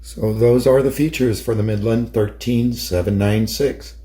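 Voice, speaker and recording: A man's voice: lowish voice, steady tone, measured pace, mellow. A man narrating a hands-on product demonstration as a hobbyist presenter.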